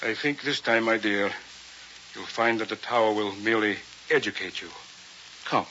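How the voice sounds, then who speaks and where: A man's voice: fairly low pitch, moderate pace, pushed and bright. A man acting as an arrogant, coldly rational scientist and domineering husband in a vintage radio drama, with a pompous, lecturing manner. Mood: cold, commanding. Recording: muffled, hissy vintage radio recording.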